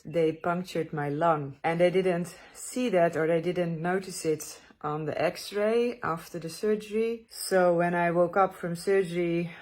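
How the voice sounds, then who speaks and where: A woman's voice: fairly low pitch, darkish tone. Breathless woman vlogger. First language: Dutch. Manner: tired, hesitant, frequent restarts. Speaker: travel vlogger. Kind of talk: casual talk to camera.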